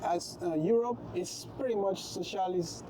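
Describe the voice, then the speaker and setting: A man's voice: high voice, unhurried, mellow, a little hiss or hum. A man hosting a casual interview, often repeating his guest's words and exclaiming. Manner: echoes the speaker's words, frequent exclamations. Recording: background music.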